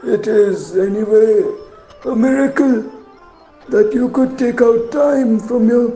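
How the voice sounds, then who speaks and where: A man playing a frail, gentle old grandfather in an amateur radio play, speaking slowly and haltingly. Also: very high-pitched. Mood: wry. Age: older. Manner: slow, halting speech. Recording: roomy echo under music.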